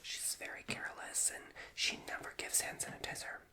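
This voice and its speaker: A man with a nasal voice, whispering an ASMR roleplay as a chatty, rambling school guidance counselor while getting over a cold. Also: a little fast. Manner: chatty, rambling, self-mocking. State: recovering from a cold.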